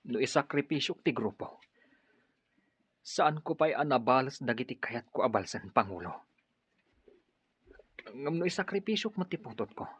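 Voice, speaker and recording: A man's voice: medium pitch, expressive, moderate pace, pauses often, a bit bright, husky. A man acting a stern, conflicted young rebel lover in a radio drama, speaking with an Ilocano accent. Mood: troubled, stern. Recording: dull lo-fi audio.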